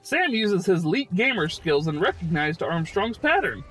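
A man giving casual, jokey voice-over commentary on a video game, excitable and a bit profane. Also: high-pitched, normal speed, very noisy. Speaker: gaming video host.

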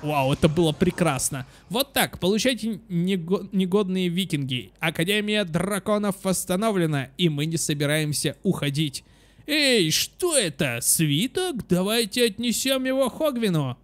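High-voiced young man, excitable gaming commentary. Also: moderate pace. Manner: animated, exclamatory, thinking aloud. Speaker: host of children's gaming channel. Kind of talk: casual let's play commentary with read-aloud game text. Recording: music behind. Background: game music.

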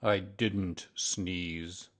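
A man voicing a pompous, long-winded owl in a children's story, with a ponderous, drawn-out delivery. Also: sits low, steady tone, a bit bright, heavily acted. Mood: mildly affronted.

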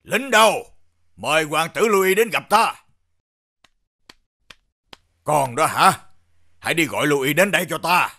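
Husky, commanding older king. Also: on the high side, lively intonation, unhurried, long pauses, pushed and bright, exaggerated voice. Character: stern older king, doubting, commanding father. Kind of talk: animated fairy-tale dubbing.

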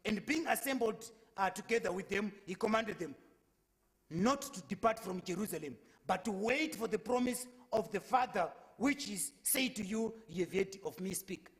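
A man's voice: high voice, lively intonation, normal speed, a bit bright. A young man speaking as a preacher in a public debate, earnestly quoting scripture at length from a lectern microphone in a lecture hall. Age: young adult. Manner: earnest, quotes verses at length, repeats words when restarting. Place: lecture hall, at a lectern before a brick wall.

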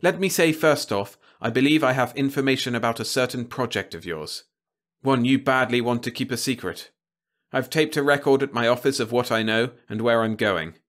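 A man's voice: medium pitch, fairly bright tone, pitched above the performer's own voice, close to an everyday voice. A man voicing an earnest, determined male news operator who talks in an urgent, insistent way.

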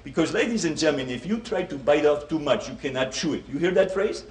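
Casting: middle-aged man with a Polish accent, playful motivational health speaker, slightly high voice. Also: lively intonation, fast talker. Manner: jokey, addresses the audience as "my friends". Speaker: health educator. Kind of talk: comedic motivational talk. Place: meeting room with a seated audience. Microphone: stand mic at a distance. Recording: roomy hall, distant mic, music behind.

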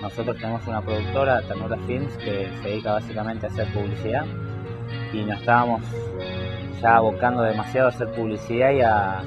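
A man in his thirties speaks steadily about producing a film, with a few fillers. His voice sits fairly low. The sound is dull and lo-fi, with loud music playing under it. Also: steady tone, a little fast. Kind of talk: interview talk.

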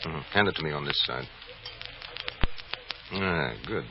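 A man acting a crafty, composed attorney on the run in an old radio detective drama, speaking in terse, low-key commands. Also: measured pace, creaky, exaggerated voice. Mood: guarded, calm. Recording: muffled, noisy old radio recording.

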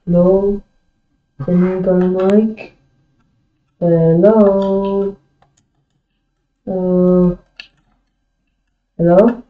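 A woman gamer chatting casually in short, halting questions and replies, in a roomy, muffled recording. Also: fairly low pitch, little pitch movement. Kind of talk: casual game voice chat.

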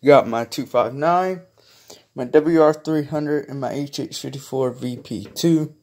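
Slow, halting man. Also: mid-range voice, darkish tone. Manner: hesitant, halting, trailing off. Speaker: hobbyist vlogger showing a collection. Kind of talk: casual rambling chat to viewers. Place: small carpeted bedroom, indoors. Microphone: handheld phone or camera held by the speaker.

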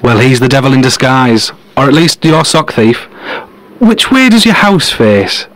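An actor playing a brilliant, dryly sarcastic male detective in a comedy audio drama, terse and deadpan. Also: mid-range voice, unhurried. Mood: matter-of-fact.

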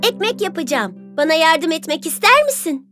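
A woman voicing a friendly but firm, hard-working little red hen in a children's cartoon, with earnest, clear delivery. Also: very high voice, sing-song, moderate pace, bright, forceful tone, faint music behind, full character voice. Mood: hopeful, asking. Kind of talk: children's cartoon fairy-tale acting.

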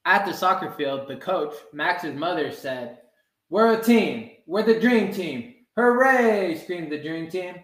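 Young man, slightly high voice, unhurried children's storybook read-aloud, echoey room. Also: expressive, a bit bright. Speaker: soccer goalkeeper reading a children's book. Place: small room with blinds, indoors. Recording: roomy indoor webcam sound.

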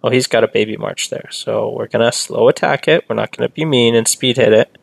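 Gravelly-voiced man chatting on stream. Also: medium pitch, a little fast, dry recording. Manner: chatty, reads and answers chat, rambling asides. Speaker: game streamer. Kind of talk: casual live-stream commentary.

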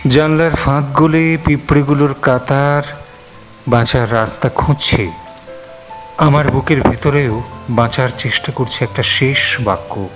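A man reciting poetry in a solemn, sorrowful, dramatic way with lingering pauses. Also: medium pitch. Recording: soft music and rain sound underneath. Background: music and falling rain.

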